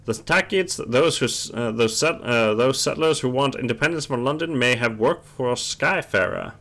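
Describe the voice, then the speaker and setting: Animated male game streamer. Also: medium pitch, vocal fry. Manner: halting read-aloud, stumbling and rereading words. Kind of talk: casual gaming stream, reading game text aloud.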